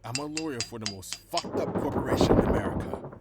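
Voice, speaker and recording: An actor playing an anxious, self-preserving corporate lawyer in a cyberpunk audio drama, explaining and pleading. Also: male voice, medium pitch, expressive, fast, heavy background noise, natural voice. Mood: nervous, pleading. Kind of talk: cinematic cyberpunk audio roleplay acting.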